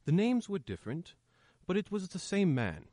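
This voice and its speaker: An actor as a police inspector in a radio drama, calm and considerate. His mid-pitched voice moves up and down expressively while staying measured, recorded dry and close. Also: no room sound. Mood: grave, explanatory. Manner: measured, reassuring, explanatory. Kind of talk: old-time radio play acting.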